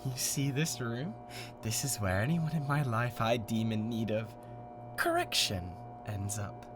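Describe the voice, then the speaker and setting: A young British man voicing an obsessive, menacing young male streamer in an ASMR audio drama, swinging between whispers and shouts. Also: mid-range voice, unhurried, loud music behind the voice, natural voice. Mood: cold menace. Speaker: voice actor.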